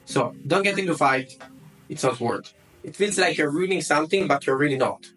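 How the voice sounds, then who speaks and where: A man's voice: on the high side, expressive, a little fast, fairly bright tone, faint music behind, rough recording. Earnest Italian-accented man giving advice. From Italy. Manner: earnest, repeats words for emphasis. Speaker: self-development vlogger. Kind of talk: advice monologue to camera.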